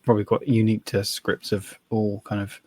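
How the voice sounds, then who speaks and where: A man co-hosting a podcast in casual discussion, tentative and rambling, softening his points with small asides. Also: lowish voice, little pitch movement, fairly quick, no echo. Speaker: podcast co-host, novelist.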